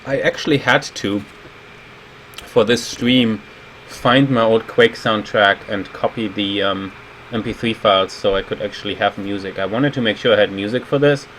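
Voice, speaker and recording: A man's voice: lowish voice, steady tone, normal speed, fairly bright tone, rough recording. German-accented man streaming over background noise. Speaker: level designer and livestream host. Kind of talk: casual livestream game commentary. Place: indoors at a computer, streaming.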